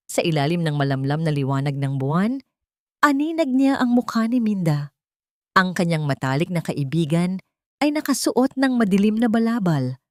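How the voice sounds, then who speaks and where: Woman, low voice, solemn storyteller, deliberate dramatic narration, dry sound. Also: no room sound.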